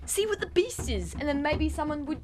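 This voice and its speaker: A woman's voice: high-pitched, big pitch swings, brisk, background noise. A young woman playing an anxious, questioning stranded child in a radio play. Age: young adult.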